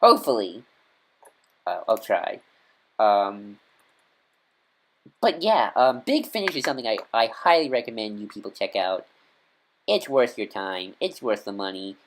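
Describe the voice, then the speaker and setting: A man's voice: fairly low pitch, very animated, unhurried, pauses often, fairly bright tone. A man hosting a casual fan review video, talking in a rambling, eager way with many fillers and restarts. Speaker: video host.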